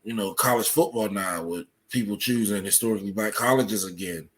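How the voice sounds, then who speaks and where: Podcast guest guy, lowish voice, explaining stuff kinda halting and measured, researcher type. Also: slight background noise. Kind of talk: explanatory interview talk.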